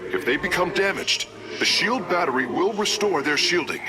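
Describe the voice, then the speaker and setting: A man playing an earnest, deferential alien engineer in a video game, speaking in a formal, measured, technical way. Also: sits high, lively intonation, music in the background. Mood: focused, informative. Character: earnest, deferential male alien engineer serving his commander. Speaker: engineer and battle advisor.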